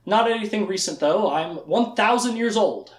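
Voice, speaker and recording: A storyteller playing a thousand-year-old male prophet, a knowing mentor with a measured, instructive manner, in an echoey room. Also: medium pitch, pitched above the performer's own voice, close to an everyday voice.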